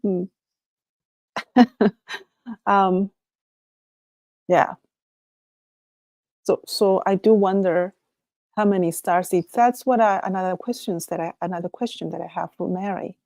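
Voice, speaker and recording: A woman's voice: medium pitch, fairly even intonation, slow pace, pauses often, a little mellow, no echo. Chilean-accented woman musing on a livestream. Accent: Chilean Spanish. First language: Spanish. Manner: musing, rambling, laughs often, trails off and restarts. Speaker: livestream host. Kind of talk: casual solo livestream chat.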